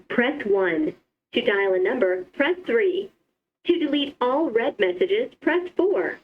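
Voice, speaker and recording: Muffled, lo-fi telephone playback of an automated woman's voice. She reads formal menu prompts in separate segments, with a lively rise and fall in pitch and an unhurried pace. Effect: telephone system playback. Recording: dull lo-fi phone speaker playback.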